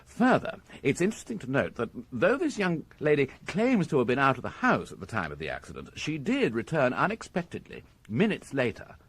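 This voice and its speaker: British police inspector in radio drama, bit husky, lively intonation, formal n precise, methodical type. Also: male voice, mid-range voice, a little fast, hardly any pauses, heavily acted. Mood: measured, formal. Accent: British English. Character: methodical, courteous male police inspector reporting his findings.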